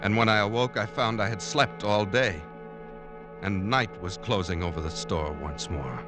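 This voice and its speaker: A man's voice: lowish voice, moderate pace, lightly acted. An actor in a vintage radio drama playing an earnest, troubled man confiding in his diary. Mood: brooding, wistful. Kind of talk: 1940s-50s radio drama acting with diary narration. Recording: old radio broadcast with music behind.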